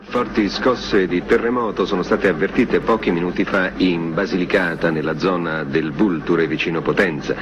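A man's voice: low-pitched, normal speed. A man reading a news bulletin as an announcer, on a muffled, noisy old broadcast recording.

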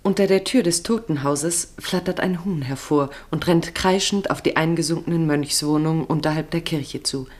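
A woman narrating a literary radio play in a serious, measured, descriptive manner. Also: sits low.

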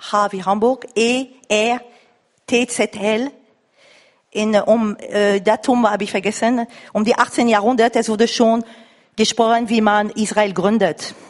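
A woman from France with a foreign accent, speaking from the floor haltingly and insistently as she searches for words. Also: medium pitch, steady tone. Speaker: audience member at a lecture. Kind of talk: spontaneous comment from the floor. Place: reverberant lecture room or hall. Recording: echoey hall recording of live talk.

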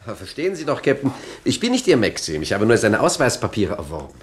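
An actor playing a harried, evasive gangster boss in a radio comedy. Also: male voice, lowish voice, huge pitch swings, quick pace. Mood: exasperated. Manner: hesitant stammering under pressure, curt with underlings. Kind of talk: German radio comedy acting.

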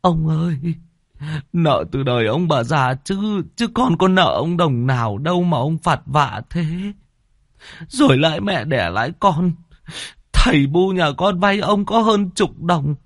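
A man voicing a desperate poor peasant who stammers, pleads and wails in a high, strained voice. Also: very animated, slow, creaky, long pauses, no echo, clean studio sound, heavily acted. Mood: pleading.

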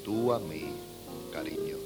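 Deep-voiced, wry middle-aged father. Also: huge pitch swings, unhurried, loud music behind the voice. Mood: tender. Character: dry, resigned, affectionate father and husband. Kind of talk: 1970s radio drama acting. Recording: old cassette radio recording.

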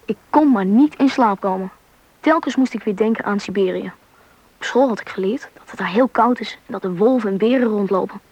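A woman's voice: mid-range voice, a little fast, darkish tone, slightly rough, no room sound, natural voice. A woman playing a curious, anxious schoolboy who narrates and acts in a Dutch radio play. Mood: narrating, wondering.

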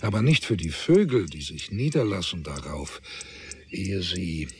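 Slow, solemn male narrator. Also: deep voice, animated, a little hiss or hum. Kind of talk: literary radio drama narration.

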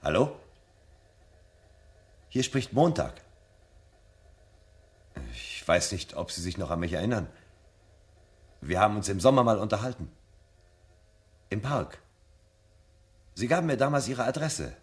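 A man's voice: on the low side, expressive, unhurried, long pauses. An actor playing an earnest, insistent man searching for answers in a German radio drama, polite but urgent. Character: earnest, insistent man seeking forbidden knowledge.

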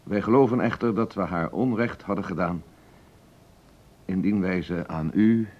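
A solemn male radio reporter. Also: low voice. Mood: grave, hushed. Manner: grave, measured, formal. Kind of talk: scripted radio narration within a drama. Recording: dull old radio recording.

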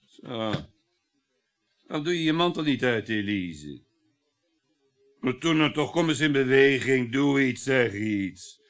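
A man acting a domineering, middle-aged husband in a Dutch radio play, talkative and sarcastic, needling with sardonic chuckles. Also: medium pitch. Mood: impatient, mocking.

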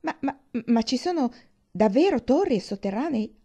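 Woman reading as an eager, naive young woman, softly mellow. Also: a little high, lively intonation, moderate pace, pitched above the performer's own voice. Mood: wonder. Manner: exclamatory, questioning, hesitant. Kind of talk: audiobook character dialogue.

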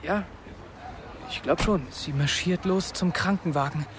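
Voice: mid-range voice, unhurried, background noise, lightly acted. A performer playing an awkward, lovestruck teenage narrator, self-mocking, in a first-person radio drama. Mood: tense narration. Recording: music under the voice. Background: prominent music.

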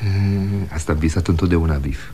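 An actor playing a restless, boastful but caring young son, earnest and emphatic, in a 1960 radio drama. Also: male voice, sits low, dark tone, husky. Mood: frustrated, proud. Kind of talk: 1960 Romanian radio theatre acting.

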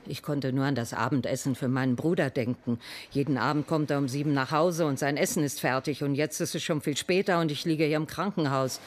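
A woman's voice: sits low, steady tone, a little fast, few pauses. An actress in a radio crime drama playing an indignant, shaken woman witness, querulous and brusque. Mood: shaken, recounting. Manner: querulous, brusque, easily agitated.

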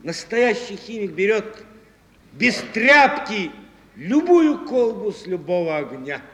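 An actor playing a brisk, bossy chemist who lectures a pupil didactically, drilling him with short questions in a stage drama. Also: male voice, high voice, sing-song, a bit bright, exaggerated voice. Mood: stern lecturing. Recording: reverberant noisy archive stage recording.

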